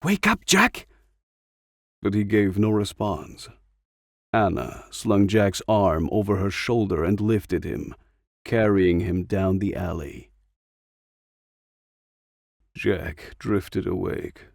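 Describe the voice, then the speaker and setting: Male narrator, scripted storytelling, very slow delivery, gravelly fry, dry close sound. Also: low-pitched, darkish tone, no echo. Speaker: audiobook narrator.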